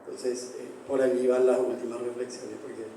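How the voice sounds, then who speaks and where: A man from Paraguay gives reflective academic panel remarks in a flat, very dark voice. He sounds distant and echoey, picked up from far off in a large hall with faint noise. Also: mid-range voice. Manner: reflective, academic, with filler pauses. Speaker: anthropologist panelist. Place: large hall, book presentation.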